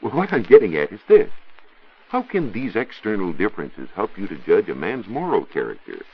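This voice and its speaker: An American man giving a scripted 1940s radio science talk as a reporter, didactic and rhetorical in measured phrases. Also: fairly low pitch, wildly swooping pitch, breathy edge. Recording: dull 1940s radio recording.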